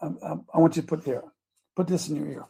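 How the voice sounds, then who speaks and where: An older man hosting a morning talk show, with a gravelly, hoarse voice, folksy and emphatic, repeating his phrases as he preaches. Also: a little high, fast, warm tone. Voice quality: gravelly, raspy voice. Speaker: host.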